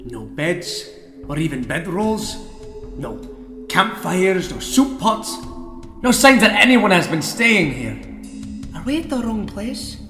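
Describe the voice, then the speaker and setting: A man's voice: high-pitched, very animated, slow pace, pauses often, bright, forceful tone, full character voice. A man playing a cautious, blunt mage adventurer in a fantasy audio drama, with old-fashioned phrasing. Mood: wary. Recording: echoey reverberant hall with music behind.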